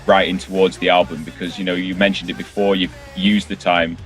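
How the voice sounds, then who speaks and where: Low-voiced male interviewer, music underneath. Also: flat delivery, fairly quick.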